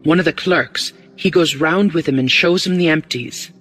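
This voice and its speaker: A man's voice: on the high side, normal speed, fairly bright tone, faint music behind, voice pushed up. A man voicing a glib, eager porter in an audiobook, with a working-class London accent and a confiding, deferential manner.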